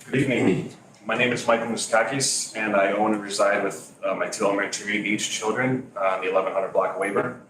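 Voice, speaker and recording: Firm resident speaking low in echoey chamber. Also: male voice, steady tone, a little fast, noisy background. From Greece. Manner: wry, firm, reads from notes. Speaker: resident giving public comment. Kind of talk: prepared public comment. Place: council chamber. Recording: echoey chamber, distant mic.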